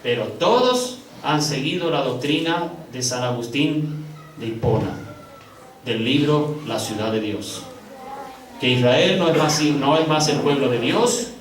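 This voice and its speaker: A male pastor preaching with sharp swings between soaring high stresses and quiet, low stretches. He draws words out slowly for weight and talks straight to his congregation as brothers. His voice rings through a reverberant hall, picked up from some distance. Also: animated, a little hiss or hum. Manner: addresses congregation as brothers, slow drawn-out stresses. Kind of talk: sermon. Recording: echoey room, picked up from a distance.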